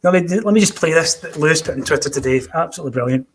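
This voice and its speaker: A man's voice: a little high, very fast, a little hiss or hum. Bantering man hosting a casual podcast. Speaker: podcast host. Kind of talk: casual chat, putting listener questions to guests.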